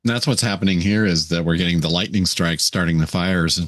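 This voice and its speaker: A man with a raspy voice hosting a casual podcast, chatting in a folksy, exclamatory way. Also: low-pitched, brisk, fairly bright tone, no echo, clean studio sound. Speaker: podcast host.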